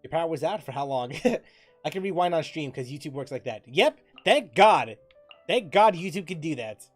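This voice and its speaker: A game streamer chatting casually while playing, thinking aloud, repeating phrases and breaking into loud exclamations. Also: medium pitch, lively intonation, moderate pace, soft background music. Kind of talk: casual livestream chat and gameplay commentary.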